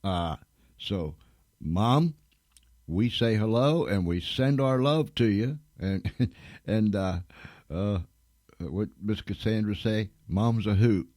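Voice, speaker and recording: A man's voice: fairly low pitch, expressive, slow, pauses often, a little mellow, dry recording, studio quality. A man hosting an informal comic radio show, with a folksy, joking, chuckling way of talking. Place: radio studio.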